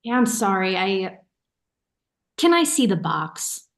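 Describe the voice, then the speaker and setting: A woman's voice: mid-range voice, big pitch swings, slow pace. A woman acting in a naturalistic play reading as a caring, teasing and watchful sister. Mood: concerned. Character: caring, teasing, suspicious sister of a young man. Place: remote online staged reading.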